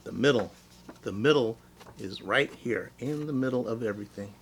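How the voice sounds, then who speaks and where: A man reading a storybook aloud as a teacher, speaking deliberately and simply to young children. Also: mid-range voice, a little hiss or hum.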